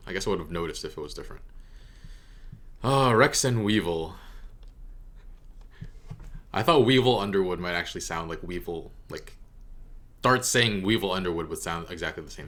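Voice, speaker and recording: Man, reaction host, lower pitch, expressive swings, casual rambling commentary, amused.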